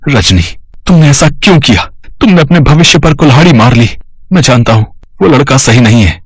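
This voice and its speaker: A husky, protective elder brother. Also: male voice, medium pitch, animated, fairly quick, no room sound. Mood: anguished affection. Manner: emotional, emphatic. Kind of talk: melodramatic Hindi cartoon story acting.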